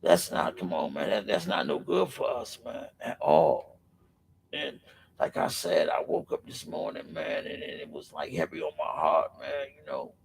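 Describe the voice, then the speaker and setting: A middle-aged man with a raspy voice, speaking in an impassioned, profane monologue on a podcast, filling his pauses with "man" and circling back through restarts. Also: deep voice, big pitch swings, a little hiss or hum, poor audio. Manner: rambling with restarts. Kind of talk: impassioned street-life monologue.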